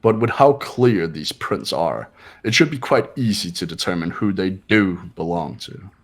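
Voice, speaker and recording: Man playing a low-voiced haughty young rich genius. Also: lively intonation, unhurried, heavily acted. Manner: smug, commanding, formal. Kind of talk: amateur voice acting in a game playthrough.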